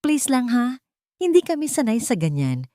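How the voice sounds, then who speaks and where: A woman's voice: on the high side, wildly swooping pitch, normal speed, dark tone, no echo, studio quality, pitched above the performer's own voice. A woman voicing a spoiled, contemptuous young sister-in-law with a Filipino accent in a story, sneering and dismissive. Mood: sneering contempt.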